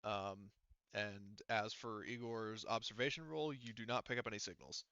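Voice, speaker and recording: Crisp-voiced man running a tabletop game. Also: lowish voice, moderate pace, sharp tone, no echo, dull audio. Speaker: game master. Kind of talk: casual narration of an online tabletop game.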